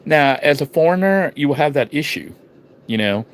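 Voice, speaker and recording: A man from the United States talking casually to his vlog viewers, rambling with restarts and fillers and swinging between low murmurs and sudden emphatic bursts. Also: expressive, moderate pace, a little hiss or hum. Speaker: vlogger. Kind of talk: casual vlog talk to camera.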